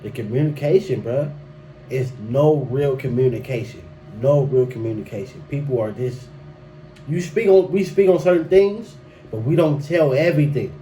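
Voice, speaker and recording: A man's voice: mid-range voice, animated, normal speed, dark tone, poor audio. A young man, talking loosely and emphatically in a solo podcast monologue, often restarting and tossing in slang. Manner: loose, repetitive and emphatic, with frequent restarts and "bruh". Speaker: podcast host. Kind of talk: solo podcast monologue giving relationship advice. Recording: faint background noise.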